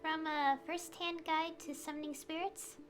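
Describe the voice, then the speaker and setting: A woman's voice: high-pitched, flat delivery, unhurried. A woman streamer giving casual live commentary while playing a video game. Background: game music.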